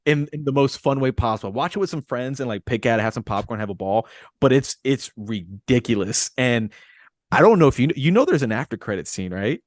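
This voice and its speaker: A man's voice: medium pitch, lively intonation, brisk, no echo, clean studio sound. A man around his thirties chatting casually as a podcast co-host, telling stories with repeated phrases and quoted asides. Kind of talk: casual movie discussion.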